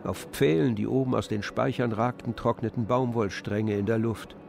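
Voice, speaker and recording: Creaky-voiced male radio-play narrator. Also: lowish voice, fairly even intonation, normal speed, music in the background.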